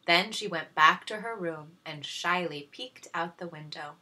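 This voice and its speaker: A woman reading a children's story aloud in expressive narration. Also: sits low. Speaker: story reader.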